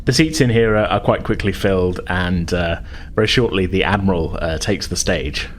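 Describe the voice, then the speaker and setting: Low-voiced, halting male game master. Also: a little hiss or hum. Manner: halting, hesitant, pieces descriptions together. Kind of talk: improvised tabletop game narration.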